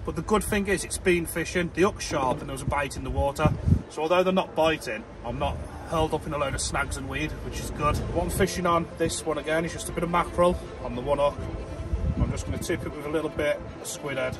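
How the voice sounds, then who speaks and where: A man's voice: a little high, fast talker. Male vlog host, casual and colloquial, easygoing narration with music underneath. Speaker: angling vlogger and host.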